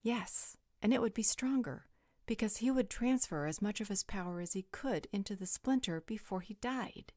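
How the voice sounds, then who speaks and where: A woman plays a compassionate, level-headed peacemaker in a roleplay audio drama. Her voice is warm and dark-toned, with expressive swings in pitch and a gentle, conciliatory manner. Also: normal speed, no room sound, clean studio sound, natural voice. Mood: grave.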